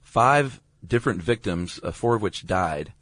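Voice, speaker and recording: A man's voice: on the low side, expressive, unhurried, a bit bright, dry recording. An American man chatting casually as a podcast co-host, with informal, slangy phrasing. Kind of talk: casual conversational banter.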